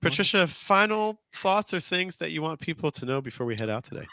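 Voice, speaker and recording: A man hosting a podcast interview, chatting in an excitable way and laughing easily. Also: a little high, fairly quick, gravelly, no room sound. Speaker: podcast host. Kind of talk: casual interview chat.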